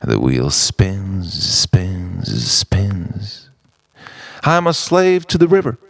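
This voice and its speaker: A man from Europe performing a confessional spoken-word monologue in a theatrical, deliberate way, with pauses and repetition. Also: sits low, very animated, creaky, dry recording, soft background music. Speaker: writer-performer reciting his own monologue.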